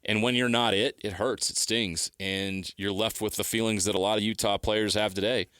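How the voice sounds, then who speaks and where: A middle-aged man with a fairly low voice talks sports as a radio co-host. He builds his argument in stacked, repeated phrases, recorded close and dry. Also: fairly even intonation, normal speed, bright, forceful tone, smooth, no room sound. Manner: emphatic, building points through repeated phrasing. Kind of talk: opinionated sports talk.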